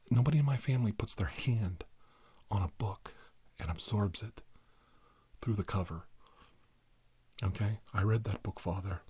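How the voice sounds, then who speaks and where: Low, husky man in exasperated comic monologue. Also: mellow, dry recording. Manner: exasperated, conversational, repeats himself. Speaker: performer playing a doctor in character. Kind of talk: rambling comic ASMR monologue.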